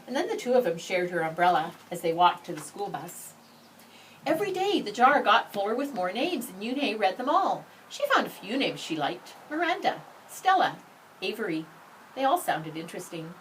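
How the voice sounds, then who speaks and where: Very expressive woman reading a children's story. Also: mid-range voice, normal speed. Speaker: storybook reader. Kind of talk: expressive children's read-aloud narration. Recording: slightly distant mic, faint background noise.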